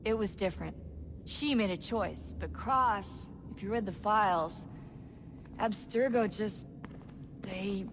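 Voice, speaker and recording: A woman's voice: medium pitch, slow, pauses often, background noise. An actress playing an earnest, troubled young woman in a modern covert team, speaking hesitantly and sincerely in a video game. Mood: sad, bitter. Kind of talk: naturalistic video game acting.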